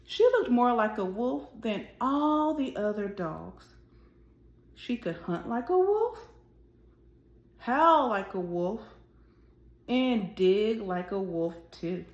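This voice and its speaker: In an echoey room, a woman hosts a storytime for children. Her voice sits fairly high, and she takes her time, deliberately addressing the young listeners as she reads. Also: lively intonation. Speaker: host of a children's read-aloud show. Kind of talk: children's storybook read-aloud. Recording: roomy, echoing sound.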